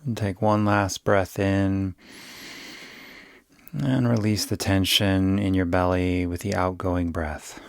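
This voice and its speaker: A man leading a guided yoga nidra meditation, giving gentle, soothing instructions in an unhurried way. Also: sits low, fairly even intonation, gravelly, smooth.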